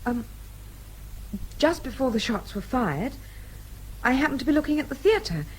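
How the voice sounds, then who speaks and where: A woman's voice: sits high, very animated, moderate pace, a little hiss or hum, low-quality recording. An actress playing a spirited, self-assured young English woman in a radio drama, polite and direct, with an English accent. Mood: guarded, defiant. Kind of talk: British radio drama acting.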